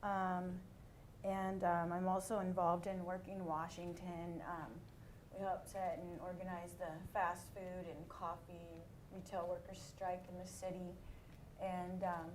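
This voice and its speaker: A woman from Seattle introducing herself on a panel as a fast food worker, steady with ums. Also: fairly low pitch, noisy background.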